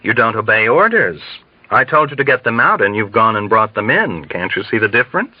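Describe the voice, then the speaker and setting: A man reading a novel as a calm, jaded male general with a weary, condescending manner. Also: lowish voice, a little fast, dry recording, highs cut off. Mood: weary, sardonic.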